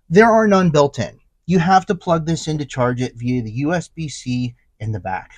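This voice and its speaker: Animated man reviewing products, dry close sound. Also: on the high side, no room sound. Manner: emphatic, repeats key words for stress. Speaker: product reviewer, channel host.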